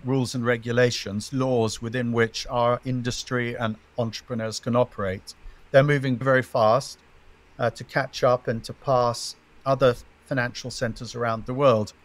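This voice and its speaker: A middle-aged man speaking as a venture investor guest, giving measured, emphatic interview answers and listing his points in threes. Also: medium pitch.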